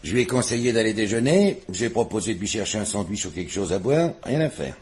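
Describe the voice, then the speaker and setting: Chatty eager cop sidekick, low voice, quick to help. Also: male voice, very animated, a little mellow. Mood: obliging, chatty. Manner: brisk, obliging, chatty. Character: eager, obliging police assistant. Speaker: inspector's assistant. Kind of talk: 1950s French radio drama acting.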